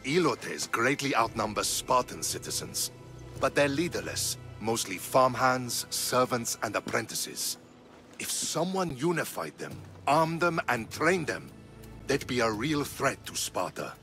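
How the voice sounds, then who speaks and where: A man playing a shrewd, earnest Spartan officer in a video game cutscene, deliberate and persuasive. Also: medium pitch, sing-song, sharp tone, soft background music, full character voice. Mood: urgent, persuasive.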